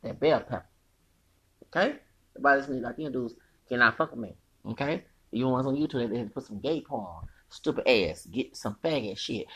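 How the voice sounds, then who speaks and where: A man with a feminine-sounding voice rants alone on his podcast, rambling and profane. His tone is husky and gravelly, and his pitch leaps all over the place, while laughing, sing-song asides cut in. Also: fairly bright tone. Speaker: podcast host. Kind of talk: rambling solo rant.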